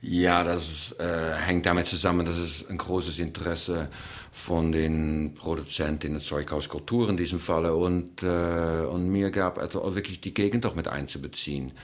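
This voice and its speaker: A man's voice: deep voice, steady tone, normal speed. A man from the Netherlands with a Dutch accent, a choreographer answering interview questions hesitantly, with many fillers.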